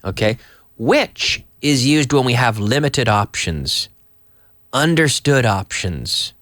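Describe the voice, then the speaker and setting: A man with a cold and a hoarse voice, teaching English live to viewers in a chatty, slow, explaining way. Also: mid-range voice, expressive, fairly bright tone, no room sound, clean studio sound. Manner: chatty, reads viewer messages aloud and explains slowly. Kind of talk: live online English lesson.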